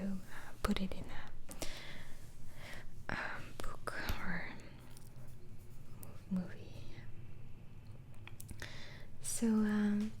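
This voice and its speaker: A woman whispering in a breathy ASMR roleplay as a patient doctor, asking questions gently and hesitantly. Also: medium pitch, rough recording. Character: polite, patient female asylum doctor interviewing a patient.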